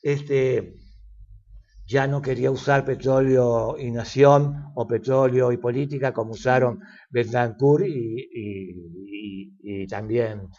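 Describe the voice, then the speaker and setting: A man's voice: medium pitch, takes it slowly, dark tone, lo-fi sound. An older man with an Argentine accent, explaining as an expert guest in an interview, lecturing at length with frequent fillers and restarts. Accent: Argentine Spanish.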